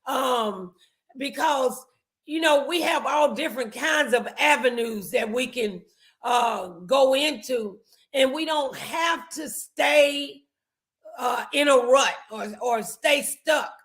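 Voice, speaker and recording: An American woman in middle age hosts a motivational talk show. Her voice is bright and forceful, and she takes her time, placing her words deliberately and with emphasis. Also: high voice.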